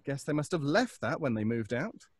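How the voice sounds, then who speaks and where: A man acting a nervous, scheming fellow in an old-time radio suspense play, with a halting, stammering, pleading delivery. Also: mid-range voice, big pitch swings, slightly rough, dry recording, clean studio sound. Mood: guarded, sly. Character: nervous, obsessive, scheming middle-class man.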